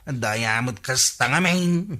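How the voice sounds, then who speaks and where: An actor playing a boastful, easygoing village man in a radio comedy drama, with a teasing, drawn-out delivery. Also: medium pitch, lively intonation, pushed and bright.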